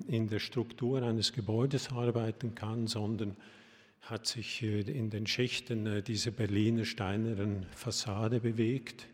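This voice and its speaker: Halting older Swiss man in a hall. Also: on the low side. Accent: Swiss German. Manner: halting, full of fillers and restarts, glancing at notes. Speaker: architect giving an opening address. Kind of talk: hesitant welcome speech at an exhibition opening. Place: academy event hall. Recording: long hall reverb.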